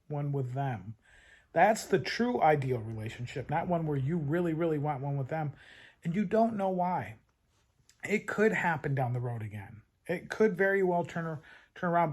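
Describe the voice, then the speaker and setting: A man's voice: a little high, a little mellow. Earnest man giving dating advice. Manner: earnest, repeats words when restarting. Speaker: dating coach. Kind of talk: advice talk to camera.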